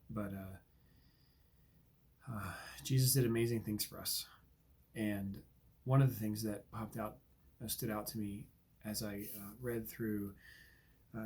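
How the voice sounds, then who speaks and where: A man's voice: on the low side, breathy edge. A man hosting a daily Bible reading, earnest and reflective, sniffling from allergies. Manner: earnest, reflective, with many ums and uhs. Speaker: Bible reading podcast host. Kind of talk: devotional commentary and scripture reading.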